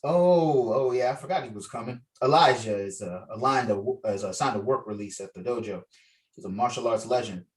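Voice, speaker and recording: Fatherly martial arts mentor, read by a man, medium pitch, heard off-mic on a video call. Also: fairly quick, distant mic, lightly acted. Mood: reassuring. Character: martial arts master calling his young student, fatherly. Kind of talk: script table read.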